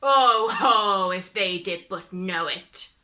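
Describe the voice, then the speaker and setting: A woman voicing a grumbling, suspicious male devil in a storybook, with drawn-out, exclamatory delivery. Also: medium pitch, sharp tone. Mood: gloating.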